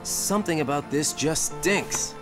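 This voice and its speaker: An actor playing a cocky, hot-tempered young man in a game cutscene, talking sarcastically and breaking into angry shouts. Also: on the high side, a little slow, music in the background, natural voice. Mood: grumbling.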